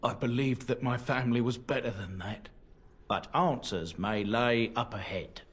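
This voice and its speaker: A troubled warrior companion in a fantasy game. He speaks earnestly in a husky voice of medium pitch. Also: normal speed, a bit bright. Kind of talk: fantasy video game acting.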